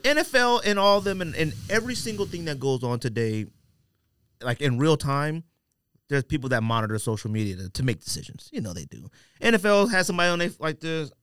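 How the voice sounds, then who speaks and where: Animated, emphatic American man. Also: on the high side, dry recording. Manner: emphatic, repeats himself. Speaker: podcast co-host. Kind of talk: casual sports chat.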